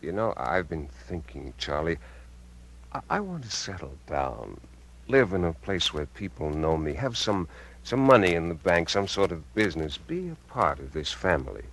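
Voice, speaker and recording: Low-voiced, menacing American uncle. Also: male voice, big pitch swings, fairly bright tone, exaggerated voice. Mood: smooth, calculating. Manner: smooth, persuasive, halting under pressure. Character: smooth, menacing middle-aged uncle hiding murderous intent. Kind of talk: 1950s American radio drama acting. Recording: vintage 1950s radio broadcast.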